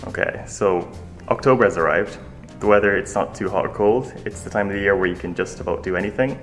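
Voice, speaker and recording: An Irish man co-hosts a radio program in a relaxed, chatty way, full of ums and likes. His voice sits very low and he talks fast. Soft music plays faintly behind him. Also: roomy sound. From Ireland. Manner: relaxed, chatty, many fillers. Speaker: radio co-host. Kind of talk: casual radio chat.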